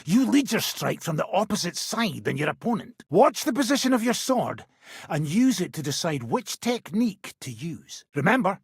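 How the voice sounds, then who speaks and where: Casting: a seasoned fighting man for a medieval game, gruff and bossy tutor, raspy tone with big swings in pitch, recorded dry and close. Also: sing-song, moderate pace, dry recording, full character voice. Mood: instructive, firm. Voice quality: rough, gravelly. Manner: blunt, commanding, instructive. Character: gruff, impatient seasoned male mercenary swordsman teaching combat. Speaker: video game character, sword-fighting trainer. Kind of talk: medieval video game voice acting.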